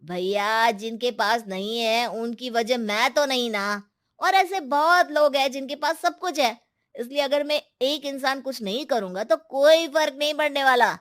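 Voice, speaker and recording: A woman's voice: sits high, a little slow, a bit bright. A woman voicing a spoiled, arrogant younger brother in a cartoon moral story, arguing dismissively. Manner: dismissive, argumentative.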